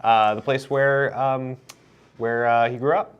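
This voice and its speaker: A young man co-hosting a casual morning show in a studio, chatty and quick with asides. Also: mid-range voice, fairly even intonation, clean tone, muffled recording. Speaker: co-host. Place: studio set in front of a backdrop.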